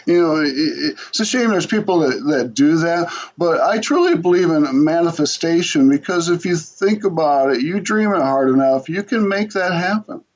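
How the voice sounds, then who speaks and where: A man hosting an interview, chatting in a relaxed and encouraging way. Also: a little high, normal speed, few pauses. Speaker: interviewer host. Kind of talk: casual interview chat.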